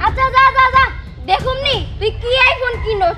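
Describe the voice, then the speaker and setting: Lady doing a squeaky high bratty cartoon kid, bangladeshi accent, taunting, loud music over it. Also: normal speed, recorded from a distance. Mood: scornful. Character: mocking, boastful young friend, iPhone-obsessed bully. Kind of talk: cartoon comedy drama dialogue.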